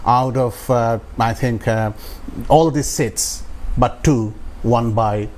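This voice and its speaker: A man in his sixties with a Bangladeshi accent tells his own story as an interview guest, haltingly, with filled pauses. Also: mid-range voice, darkish tone, a little hiss or hum. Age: 60s.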